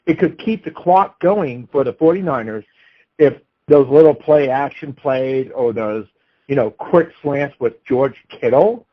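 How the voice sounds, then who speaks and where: A man's voice: mid-range voice, unhurried, muffled recording. A man talking through sports analysis and betting picks in an unscripted way, as an analyst.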